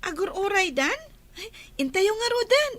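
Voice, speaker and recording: An innocent, playful child in a radio drama. The voice is extremely high and swings up and down in an animated way. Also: female voice, moderate pace, exaggerated voice. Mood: playful, curious. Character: playful, innocent young child, an older little sibling. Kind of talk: Ilocano radio drama acting.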